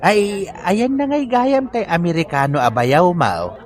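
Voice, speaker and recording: A man's voice: high-pitched, expressive, unhurried. A man with an Ilocano accent playing a greedy, cheeky uncle in a comedy drama.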